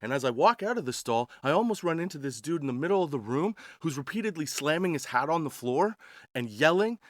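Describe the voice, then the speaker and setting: A man's voice: on the high side, sing-song, a little fast, no room sound, studio quality. A man telling casual comedic stories in a slangy, crude, sarcastic way. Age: 40s. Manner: slangy, crude, sarcastic, addressing listeners as dude. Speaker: podcast host telling travel stories.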